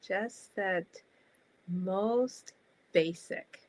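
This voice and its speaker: A woman guiding a meditation in a calm, soft, spacious manner, leaving long pauses between short phrases. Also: medium pitch, rough recording. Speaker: meditation guide.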